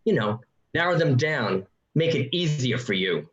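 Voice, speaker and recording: A man's voice: medium pitch, very animated, unhurried, fairly bright tone, dull audio. A man playing an exasperated, sarcastic neighbor arguing for reason in a radio drama, in a roomy home recording. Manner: sarcastic, indignant. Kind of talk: radio play acting.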